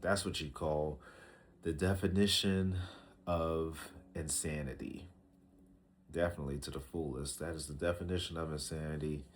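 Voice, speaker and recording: A man in his early thirties with a very deep voice talks straight to a camera. He speaks slowly and reflectively, with many fillers and restarts. A faint hiss of noise sits under the recording. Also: steady tone. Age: 30s. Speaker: vlogger. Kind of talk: casual talking-to-camera advice.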